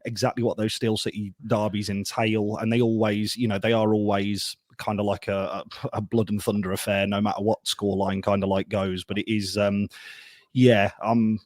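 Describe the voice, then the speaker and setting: Low, flat-voiced hesitant man. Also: moderate pace, no room sound, clean studio sound. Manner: hesitant, searching for words. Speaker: guest fan. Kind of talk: casual chat.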